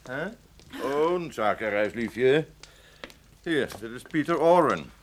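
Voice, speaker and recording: A man's voice: mid-range voice, expressive, takes it slowly, slight background noise. Een man die in een hoorspel een gemaakt joviale, babbelzieke zakenpartner uit de misdaad speelt, aarzelend en herhalend. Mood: jovial. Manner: chuckling, hesitant, repetitive. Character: chatty, falsely jovial male business associate in crime. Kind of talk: radio drama acting.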